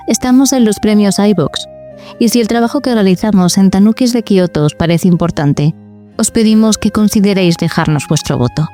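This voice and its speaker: Dark, mellow female podcast narrator. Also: mid-range voice. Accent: Spain. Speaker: podcast host. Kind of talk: scripted narration.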